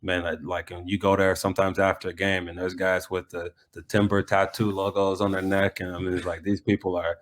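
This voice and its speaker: A man's voice: deep voice, flat delivery, brisk, few pauses. A middle-aged man, a coach and former player, answering interview questions casually and reflectively, often repeating phrases as he goes.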